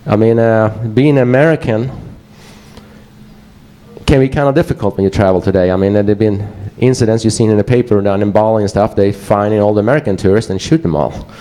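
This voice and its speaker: Man with a Swedish accent and a low, warm voice, presenting casually and wryly to a crowd. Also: brisk. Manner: casual, joking, digressive. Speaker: conference speaker. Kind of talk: presentation talk to a live audience.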